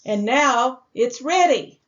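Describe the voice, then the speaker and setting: A woman announces for an old radio sponsor with gracious formality. Her voice is slightly high, and the recording is dull. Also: lively intonation, slow. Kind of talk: scripted vintage radio announcement.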